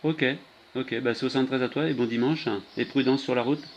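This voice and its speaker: A man chatting casually and haltingly as a CB radio operator. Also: mid-range voice, steady tone, a little mellow. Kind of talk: casual radio contact chat. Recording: muffled lo-fi CB radio, clear noise.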